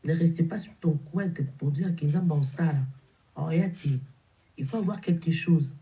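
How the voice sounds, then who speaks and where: A man's voice: on the high side, a little slow, dull audio. Congested man preaching, stumbling and repeating. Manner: repetitive, stumbling, emphatic preaching. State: has a cold, congested. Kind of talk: morning sermon exhortation.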